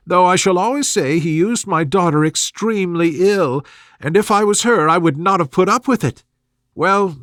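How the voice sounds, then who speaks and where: A resentful, gossipy middle-aged mother from a classic storybook, played by a man. The voice is pitched high and swings about in exclamations and complaints. It is recorded close and dry. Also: fairly bright tone, dry recording. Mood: indignant.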